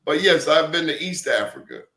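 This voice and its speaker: A man's voice: fairly high pitch, wildly swooping pitch, normal speed, bright, forceful tone. Emphatic male host in an echoey room. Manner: emphatic, preachy storyteller. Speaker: show host. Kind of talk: casual interview talk.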